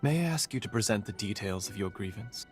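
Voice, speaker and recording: A man voicing a measured judicial magistrate in a video game, formal, stern and diplomatic. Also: lowish voice, lively intonation, soft background music, lightly acted. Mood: measured, official. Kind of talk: scripted video game cutscene acting.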